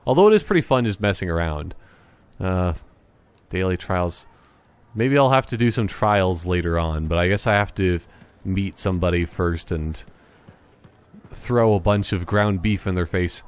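A man giving casual gameplay commentary in a rambling, joking, halting way. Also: low voice, animated, smooth. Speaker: gaming commentator.

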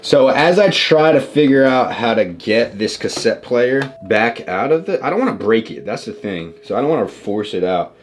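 A man in his twenties talking casually and excitably in slang to a camera in a bedroom. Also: mid-range voice, normal speed, hardly any pauses, slight background noise. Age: 20s. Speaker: vlogger. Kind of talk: casual reaction vlog. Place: small bedroom with desk.

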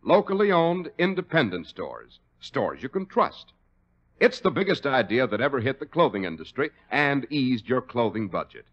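A man's voice: medium pitch, sing-song, normal speed, a bit bright. A man with an American accent reading a scripted 1940s radio commercial in an emphatic sales style. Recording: muffled old radio recording.

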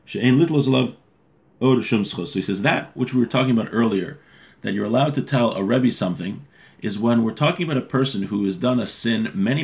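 A man in his thirties giving an informal religious lesson at his desk in a small home room, reading text aloud and explaining it in a halting, self-correcting way. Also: medium pitch, darkish tone. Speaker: religious teacher.

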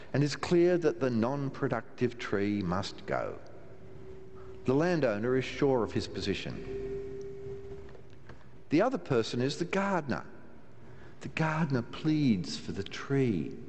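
An older man, a minister, giving a measured sermon from the pulpit of a large church, with a wry touch and slow, emphatic pauses. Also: medium pitch, a little mellow. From Australia. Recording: long church reverb, faint room hiss.

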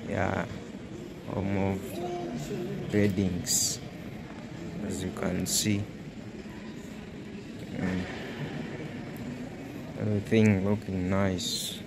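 Slow low-voiced man touring a mall. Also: flat delivery, gravelly, heavy background noise. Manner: slow, naming brands one by one. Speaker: vlogger. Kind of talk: walking tour vlog narration. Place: large indoor shopping mall.

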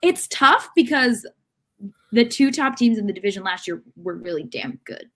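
A woman's voice: mid-range voice, very animated, moderate pace, sharp tone. Woman talking soccer, thinking aloud. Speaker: sports podcast co-host. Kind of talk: unscripted soccer discussion. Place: room at home. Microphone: video call.

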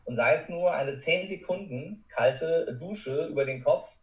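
A man's voice: a little high, measured pace. A man giving an informal, emphatic motivational talk as a coach, addressing the listener directly. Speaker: podcast guest, courage coach. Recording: roomy echo, distant from mic.

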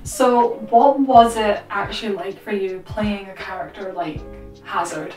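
A woman's voice: medium pitch, fairly even intonation, unhurried, darkish tone. A woman in her twenties telling a story casually as a vlog host. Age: 20s. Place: small room at home with costumes. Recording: roomy echo, distant microphone, music underneath.

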